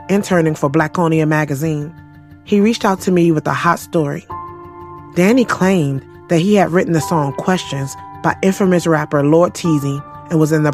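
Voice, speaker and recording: A woman's voice: deep voice, natural voice. Woman playing a sassy young writer telling her story, blunt n confiding, audio drama, music under it. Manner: frank, profane, confiding. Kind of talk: first-person audio drama narration, conversational storytelling.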